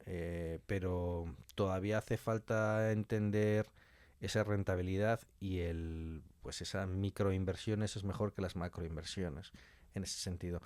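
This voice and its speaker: A man's voice: fairly low pitch, darkish tone, dry recording, clean studio sound. A man from talking casually and colloquially as an interview guest, giving his opinions.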